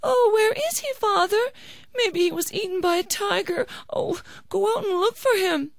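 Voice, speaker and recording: Lady doing a worried young wife from a folktale, high and pleading, dry sound. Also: moderate pace, vocal fry, no echo. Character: fretful young village wife, anxious and pleading. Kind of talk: animated storytelling.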